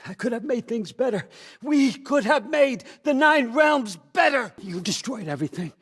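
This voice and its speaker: A man's voice: very high-pitched, animated, moderate pace, fairly bright tone, slightly rough, exaggerated voice. An older American man acting in a video game as a scheming, controlling old father god, wry, folksy and conversational as he manipulates. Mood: raging, anguished.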